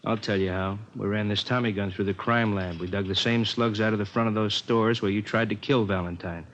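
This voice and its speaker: An actor playing a hard-nosed, terse and insistent police detective in an old radio crime drama. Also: male voice, fairly low pitch, fairly even intonation, brisk, fairly bright tone, lightly acted. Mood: firm, pressing. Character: hard-nosed male police detective confronting a suspect with evidence. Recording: muffled, hissy old radio recording.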